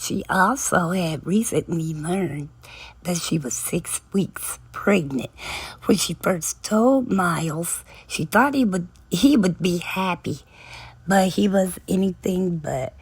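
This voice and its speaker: A woman hosting a book channel, retelling a novel's plot in a relaxed, storytelling way, her voice raspy and hoarse from talking a long time. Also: on the low side, dry recording. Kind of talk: casual book recap.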